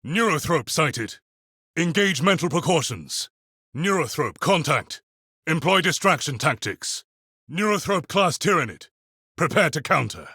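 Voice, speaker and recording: An actor playing a disciplined, tactical space marine giving terse military reports in a video game. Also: male voice, fairly high pitch, sing-song, measured pace, bright, forceful tone, slightly rough, dry recording, studio quality, exaggerated voice. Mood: alert, tactical. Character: clipped, clinical male space marine soldier, disciplined and tactical. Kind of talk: video game combat voice lines.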